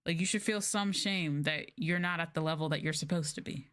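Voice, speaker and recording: Low-pitched woman telling stories casually. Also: brisk, fairly bright tone. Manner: informal, slangy phrasing. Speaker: livestreamer and former English teacher. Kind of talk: casual livestream storytelling.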